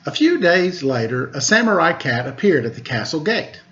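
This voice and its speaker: A man's voice: fairly high pitch, big pitch swings, normal speed, a bit bright. Man reading stories aloud, dull lo-fi sound. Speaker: storytime host reading children's stories. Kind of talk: read-aloud storytelling with casual introduction. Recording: dull lo-fi recording.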